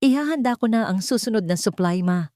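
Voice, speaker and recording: A woman's voice: medium pitch, expressive, brisk, mellow, no echo, studio quality, voice pushed up, natural voice. A woman voicing a scheming, conspiratorial young man in a dramatic audio story. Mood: conspiratorial, satisfied.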